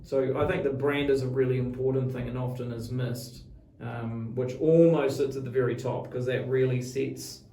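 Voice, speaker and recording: A man's voice: medium pitch, fairly even intonation, moderate pace, hardly any pauses, mellow. A middle-aged man with a New Zealand accent answering interview questions thoughtfully, often repeating words as he forms his point. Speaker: radio executive, interview guest. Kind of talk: long-form interview conversation. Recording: roomy echo, distant from mic.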